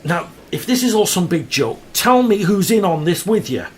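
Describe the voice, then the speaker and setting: A man's voice: high voice, sing-song, normal speed, breathy edge, exaggerated voice. A man acting a stressed, irritable local radio presenter in an audio drama, defensive and hesitant. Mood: suspicious, tense.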